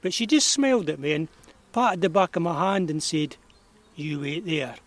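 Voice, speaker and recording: A man's voice: fairly high pitch, moderate pace, lightly acted. An actor playing a kindly, nostalgic old man of about eighty with a broad rural Perthshire Scottish accent, reminiscing in a folksy way in an audio drama. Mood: amused, reminiscing. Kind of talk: naturalistic audio drama storytelling.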